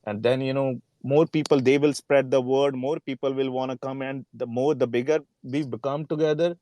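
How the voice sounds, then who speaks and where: A man with an average-pitched voice speaks in a very dry acoustic. He chats casually on a group call, earnest and repetitive, rallying the others. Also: steady tone, normal speed, warm tone, no room sound. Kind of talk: casual chat in a live group audio call.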